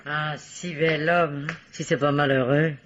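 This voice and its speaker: Grumbling, gossipy seamstress, dull sound. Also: female voice, deep voice, fairly even intonation, a little slow, fairly bright tone, a little hiss or hum. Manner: colloquial, grumbling, familiar. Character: nosy, grumbling, gossipy female household seamstress. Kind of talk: old French radio drama acting. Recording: dull vintage radio recording.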